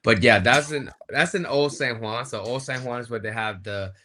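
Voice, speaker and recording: A man, a wrestling guest, explaining things in a relaxed, casual way. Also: mid-range voice, normal speed. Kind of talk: casual chat.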